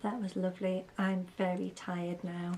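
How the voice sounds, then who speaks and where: A woman co-hosting a children's story show in a gentle presenting style. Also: lowish voice, flat delivery, normal speed.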